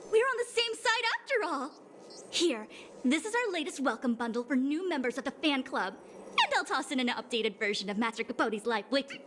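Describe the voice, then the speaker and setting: A woman's voice: high voice, sing-song, fairly quick, background noise. A woman playing a gushing, devoted young fan club member in a video game, with a dramatic manner. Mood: eager.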